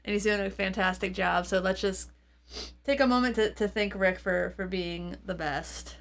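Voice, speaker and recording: A woman's voice: mid-range voice, normal speed, vocal fry. A woman giving an informal, off-the-cuff gear demo, thinking aloud in loose fragments as she reviews. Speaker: gear reviewer and channel host. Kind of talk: casual, off-the-cuff product demo chat. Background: electric guitar playing through effects pedals.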